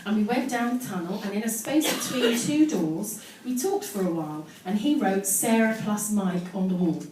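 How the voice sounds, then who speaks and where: A woman's voice: mid-range voice, lively intonation, moderate pace. A woman giving a live comic reading from her own teenage diary, acting out the drama with amused asides. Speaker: storyteller on stage. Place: live storytelling event venue with audience. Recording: very echoey, noisy live venue, distant mic.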